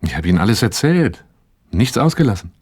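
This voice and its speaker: A man's voice: on the low side, sing-song, fairly quick, darkish tone, husky, no room sound, studio quality. An actor playing a troubled, dutiful working man in a radio play, recounting his life to his therapist in a matter-of-fact, reflective way. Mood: wry, resigned. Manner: matter-of-fact, reflective, acting out remembered dialogue. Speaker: protagonist and first-person narrator of a radio play. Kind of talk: 1980s German radio play acting, confessional monologue.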